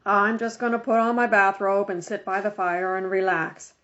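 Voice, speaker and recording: A woman's voice: medium pitch, flat delivery, moderate pace, fairly bright tone, lightly acted. A woman voicing a reluctant, easygoing homebody father in a children's storybook, grumbling in a laid-back way. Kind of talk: children's storybook read-aloud. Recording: dull lo-fi home recording.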